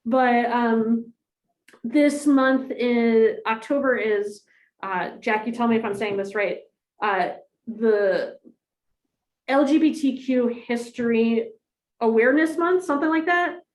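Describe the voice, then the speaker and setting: A woman rabbi introducing a guest speaker to her congregation in a friendly, halting way with many ums. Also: a little high, roomy sound, low-quality recording. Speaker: rabbi hosting a class.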